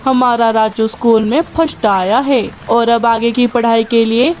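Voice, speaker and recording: A woman's voice: a little high, fairly even intonation, unhurried, a little mellow, slight background noise, close to an everyday voice. A woman voicing a grumbling father bird in a children's cartoon, with a chiding, exasperated manner. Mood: explaining.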